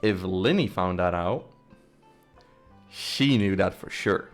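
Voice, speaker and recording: Slow-talking low-voiced man streamer. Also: faint music behind. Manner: rambling, excited theorizing, swears. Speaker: game streamer. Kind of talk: casual gameplay commentary.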